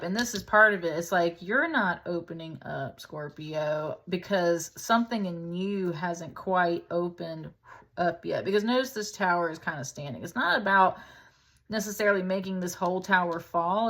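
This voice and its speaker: Woman w a lowish voice doing a tarot reading, super casual and chatty, kinda thinking out loud. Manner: informal, chatty, thinking aloud. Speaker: tarot reader, host.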